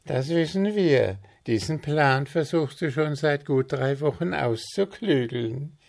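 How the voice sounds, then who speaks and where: A bright, high and chirpy voice for a friendly male gnome, as the reader puts him on. He teases his friend good-naturedly in a light, cheerful storybook way. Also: expressive, slow pace, gravelly, exaggerated voice. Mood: teasing.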